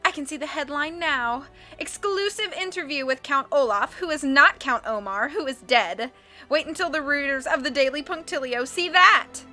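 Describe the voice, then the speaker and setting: A woman's voice: high-pitched, normal speed, bright, forceful tone, voice pushed up. Woman doing a gushing newspaper reporter. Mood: eager. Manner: gushing, eager. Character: pushy gum-chewing female newspaper reporter.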